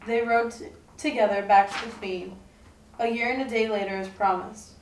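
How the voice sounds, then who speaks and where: A woman's voice: a little high, fairly even intonation, normal speed. A young woman reading scripted storytelling narration for a puppet show. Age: young adult. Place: indoor room, puppet show recording. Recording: echoey room, distant mic, faint noise.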